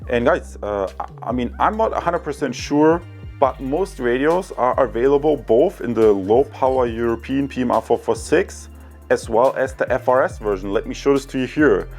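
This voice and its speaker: A man's voice: mid-range voice, normal speed, gravelly, darkish tone, faint music behind. A man hosting a product review, giving a casual, unscripted summary in an upbeat way, addressing viewers directly. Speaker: product reviewer, video host.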